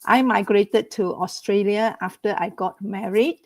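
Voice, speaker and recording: A woman from Malaysia, an entrepreneur and mentor, giving a slow personal talk in an online group. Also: mid-range voice, steady tone, no echo.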